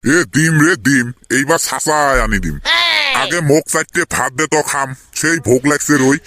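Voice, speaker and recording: A man's voice: on the high side, normal speed, pushed and bright, slight background noise. A man voicing a grumpy, stingy older village father who scolds coarsely in a comedy cartoon, with a northern Bengal accent. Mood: placating. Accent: northern Bengal (Cooch Behar region). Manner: gruff, scolding, coarse-mouthed. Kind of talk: comedy cartoon voice acting in rural dialect.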